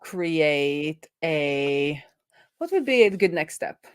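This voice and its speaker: Low-pitched, even-toned woman explaining code. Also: takes it slowly, clear, resonant tone. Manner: thinks aloud, explanatory. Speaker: host and programmer. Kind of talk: informal live coding explainer.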